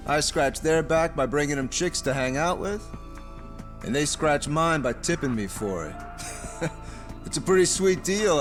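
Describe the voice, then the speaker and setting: A man playing a smug, ambitious teenage delinquent in a video game dub, boastful and slangy. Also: fairly high pitch. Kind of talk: video game cutscene English dub acting.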